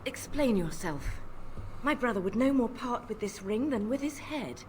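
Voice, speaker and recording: An actress playing a proud, formal noblewoman in a fantasy video game, commanding and measured. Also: fairly high pitch, sing-song, a little hiss or hum. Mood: urgent, demanding.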